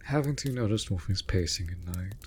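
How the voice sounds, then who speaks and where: A low-pitched male raven familiar acted by a man speaks over faint background noise. He is formal and theatrical, an exasperated but devoted servant in a fantasy audio drama who chides because he cares. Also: expressive, a little fast, a little mellow. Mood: chiding, caring. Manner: theatrical, formal, scolding yet caring. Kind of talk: scripted fantasy audio roleplay.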